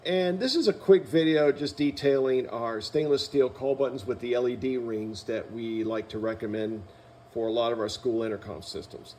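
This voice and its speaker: A man's voice: medium pitch, moderate pace, a little mellow, slight background noise. A man giving an instructional product walkthrough in a methodical, explanatory manner, as a company demonstrator.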